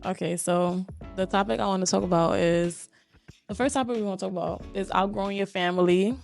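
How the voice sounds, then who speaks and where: A young woman born in Haiti, hosting a podcast in relaxed, slangy casual chat. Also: medium pitch, fairly quick, gravelly, faint music behind. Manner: slangy, relaxed, laughs often. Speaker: podcast host.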